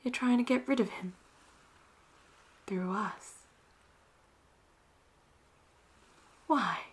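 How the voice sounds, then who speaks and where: A woman acting a flirty, dominant and cocky female pirate captain in a roleplay audio drama, teasing and breathy, often dropping toward a whisper. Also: fairly high pitch, a little slow. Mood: incredulous, threatening. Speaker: voice actor.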